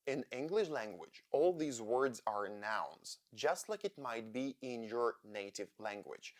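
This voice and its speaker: Slow man teaching English. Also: mid-range voice, lively intonation. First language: Ukrainian. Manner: slow, deliberate explaining. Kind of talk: scripted lesson narration.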